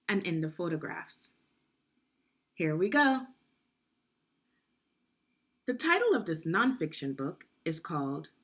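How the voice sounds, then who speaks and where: Woman, middle-aged, unhurried pace, slightly breathy, deliberate teacherly read-aloud, questioning delivery. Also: mid-range voice. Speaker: education consultant reading a children's book aloud. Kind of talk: instructional read-aloud for young children.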